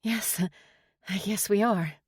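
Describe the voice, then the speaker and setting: A woman voicing a flustered, lovestruck young bank teller who feigns composure in a romance audiobook, coy and a little breathy. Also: on the low side, lively intonation, a little fast, darkish tone, no room sound, clean studio sound, natural voice. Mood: nervous, polite.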